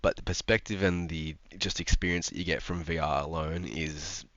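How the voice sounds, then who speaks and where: A man's voice: sits low, steady tone, normal speed, a bit bright, dry recording, dull audio. A young man, stuffed up from a cold, chatting casually about sim racing with lots of ums and restarts. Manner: rambling, frequent ums and restarts. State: congested from a cold. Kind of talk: casual commentary to camera.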